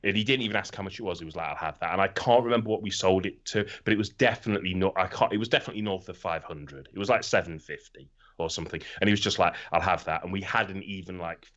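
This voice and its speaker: A man's voice: deep voice, quick pace, hardly any pauses, a bit bright, dull audio. A middle-aged man chatting casually as a podcast host, rambling through anecdotes and swearing freely.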